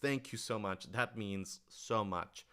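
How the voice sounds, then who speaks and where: A man's voice: lowish voice, takes it slowly, pushed and bright, smooth. A man speaking casually and earnestly on a question-and-answer livestream, enthusiastic and given to rambling asides. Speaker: writer-director answering audience questions.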